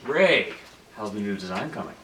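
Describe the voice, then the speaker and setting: A man's voice: lowish voice, very animated, normal speed, bright, forceful tone. A man playing a mischievous, friendly henchman in his twenties, breezy and joking, in a comedy script read. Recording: echoey room, distant mic, faint noise.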